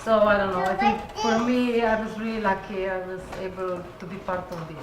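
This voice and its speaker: A woman from Slovenia with a Slovenian accent, talking informally at a Q&A in halting non-native English, searching for her words. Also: medium pitch, fairly even intonation. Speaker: puppet designer and puppeteer. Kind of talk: informal Q&A talk after a show. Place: echoey room at a live Q&A. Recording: roomy, noisy live event recording.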